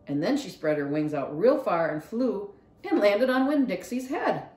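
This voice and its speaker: A woman's voice: mid-range voice, very animated, normal speed, darkish tone. A woman narrating a children's storybook aloud expressively, as a library read-along reader. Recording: roomy echo.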